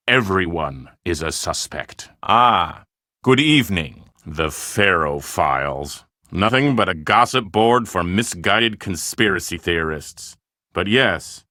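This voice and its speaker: Scheming movie producer for a video game: self-important, formal, emphatic, with a bright, forceful voice and sweeping intonation. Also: male voice, on the low side, no echo, studio quality, exaggerated voice. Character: scheming, self-important male film producer desperate for publicity.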